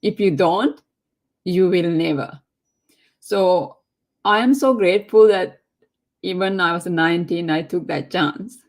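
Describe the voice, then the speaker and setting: A woman's voice: lowish voice, unhurried, long pauses. A woman from Sri Lanka whose first language is not English, giving a heartfelt, emphatic motivational testimony in non-native English. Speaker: guest speaker.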